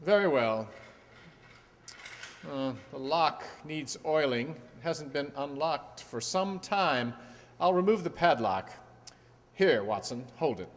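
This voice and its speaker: Radio mystery sleuth played by a man: urbane, assured, inquisitive, animated melody, long reverb. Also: on the high side, takes it slowly. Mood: methodical. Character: shrewd, composed gentleman detective. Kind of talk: radio play acting. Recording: echoey hall reverb.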